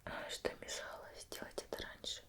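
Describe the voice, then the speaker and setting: Woman acting as whispering, formal female investigator. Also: natural voice. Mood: probing, serious. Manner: measured, gentle, formal questioning. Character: female investigator, soft-spoken, formal, patiently questioning a witness. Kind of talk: ASMR roleplay.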